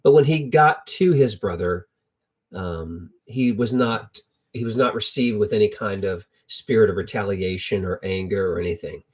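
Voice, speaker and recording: Man, middle-aged, American accent, fairly low pitch, informal storyteller, reflective, halting delivery, repeated phrases. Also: husky. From United States. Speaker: lay Bible storyteller. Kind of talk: informal Bible storytelling with personal anecdotes.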